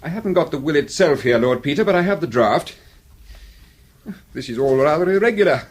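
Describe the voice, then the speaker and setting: An actor playing a formal, obliging English solicitor in a British radio drama, speaking politely with a Received Pronunciation accent. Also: male voice, fairly high pitch, lively intonation, fairly quick. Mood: polite, confidential. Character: courteous, discreet English solicitor, a man of business.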